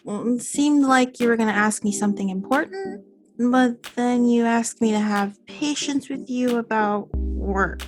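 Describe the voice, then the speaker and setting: A woman's voice: fairly high pitch, animated, a little slow, faint music behind. A woman acting in an audio drama as a hopeful but uneasy girlfriend. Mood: hesitant, anxious. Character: hopeful, uneasy girlfriend awaiting a marriage proposal.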